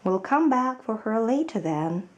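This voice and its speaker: A kindly farmer man from a winter storybook, read by a woman in a light voice. He talks slowly and gently, with a friendly, reassuring manner and a softly animated tune. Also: a little high, muffled recording. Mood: kindly, inviting.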